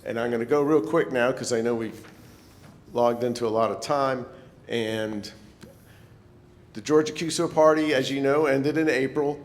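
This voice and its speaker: A man's voice: moderate pace, pauses often. A man giving an informal, slightly hesitant club presentation in a meeting hall. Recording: echoey hall, rumbling background noise.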